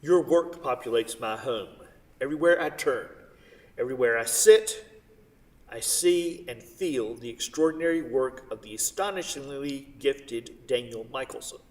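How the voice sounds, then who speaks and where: A man's voice: medium pitch, unhurried, natural voice. A wealthy divorced art patron played by a man, earnest and insistent, with wistful devotion under the effusive praise. It is a staged play reading, heard at a distance in a reverberant hall. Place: theatre stage. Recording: echoey hall, distant microphone.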